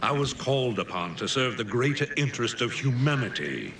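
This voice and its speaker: A man's voice: medium pitch, sing-song, a little fast, a bit bright, distant mic. An actor playing an arrogant male emperor who answers a press interview with haughty indignation.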